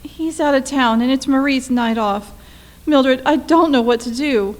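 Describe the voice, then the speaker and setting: A woman's voice: high-pitched, measured pace. A woman playing an anxious, pleading wife in a radio play, dramatic in manner. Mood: frightened. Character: frightened, pleading wife alone at home.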